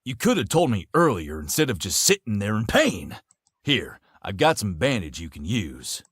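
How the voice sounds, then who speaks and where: A man's voice: lowish voice, very animated, normal speed, a bit bright, slightly rough, no room sound, full character voice. A man voicing a big, teasing, grinning fellow with a folksy, playful manner in a visual novel game. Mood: concerned. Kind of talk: visual novel character acting.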